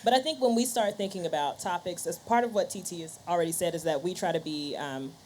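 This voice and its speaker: A woman talks conversationally as a guest on a panel. Her voice is a bit low for a woman, and faint ambient noise sits behind it. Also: fast talker, darkish tone. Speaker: panelist, podcast host and scientist.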